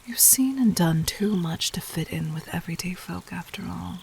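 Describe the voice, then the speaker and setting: A woman playing a gentle but commanding, seductive demon queen in an intimate audio roleplay, speaking softly and formally in a breathy near-whisper. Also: lowish voice, animated, normal speed, a little hiss or hum, poor audio. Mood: composed, persuasive.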